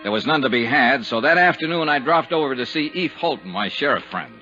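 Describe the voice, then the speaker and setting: An actor playing a hard-boiled insurance investigator in a 1950s radio drama, clipped, dry and matter-of-fact. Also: male voice, medium pitch, animated, brisk, pushed and bright. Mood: dry narration. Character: brisk, hard-boiled insurance investigator narrating his case. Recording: dull 1950s radio recording, music behind.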